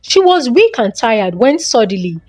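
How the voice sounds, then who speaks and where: A woman's voice: fairly high pitch, sing-song, moderate pace, darkish tone, no echo, studio quality. A woman narrating a folktale as a dramatic storyteller.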